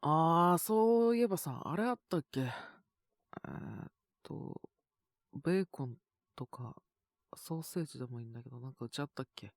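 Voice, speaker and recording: A man with a sore, scratchy throat and a cough, chatting casually and intimately in soft, hesitant murmurs as if to someone beside him. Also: fairly high pitch, expressive, moderate pace, vocal fry, no room sound, studio quality. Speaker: voice actor making situation-voice videos. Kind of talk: intimate casual chat to an unheard partner.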